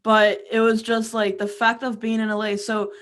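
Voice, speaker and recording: A woman's voice: on the high side, monotone, normal speed, a bit bright. A young woman from Peru and Florida chatting informally as an interview guest, often saying "like" as she tells her story. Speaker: interview guest, music career coach.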